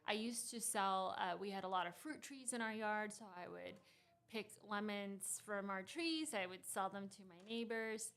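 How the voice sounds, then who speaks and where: A woman's voice: mid-range voice, moderate pace, a bit bright. Woman founder in her 30s, grew up in costa rica, laughs a lot, lots of ums, studio chat. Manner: laughs often, many fillers and restarts. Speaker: startup founder and guest. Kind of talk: casual interview conversation.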